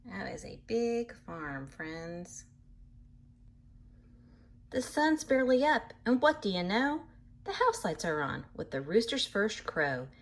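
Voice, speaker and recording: A woman reading a picture book aloud to children in an animated way, pausing to explain things patiently in simple words. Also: mid-range voice. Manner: animated, acts out animal sounds, addresses young listeners. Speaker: read-aloud storyteller for children.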